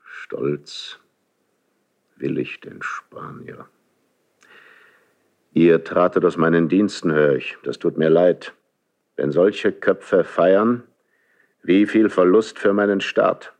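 A proud, ageing monarch in a classical verse radio play. The voice is very low and moves very slowly, with weighty pauses and an imperious, solitary gravity. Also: male voice, a little mellow. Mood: regal, probing. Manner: measured, weighty, imperious delivery with long pauses. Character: grave, proud, solitary ageing king of Spain. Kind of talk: classical German stage verse radio drama.

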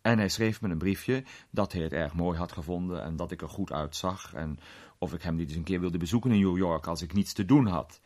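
A man's voice: low voice, a little fast, a little mellow, natural voice. An actor playing a genial male film star who tells a strange story conversationally in a radio play. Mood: musing, reminiscing.

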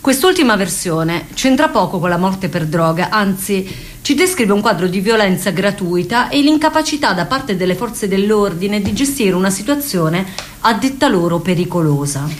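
A woman with a medium-pitched voice narrates a documentary drama. Her delivery is scripted, in the manner of radio theatre. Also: lively intonation, moderate pace, slight background noise. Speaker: actor-narrator.

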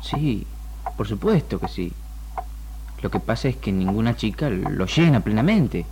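An actor with an Argentine accent playing a patient, measured psychoanalyst in a 1960s radio drama, calm and assured. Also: male voice, fairly low pitch, creaky, heavily acted. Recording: faint noise of old radio recording.

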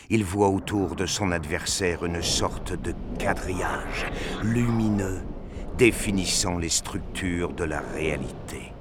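A man narrating a scripted action story with deliberate, intense dramatic delivery. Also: deep voice, heavy background noise. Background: prominent music.

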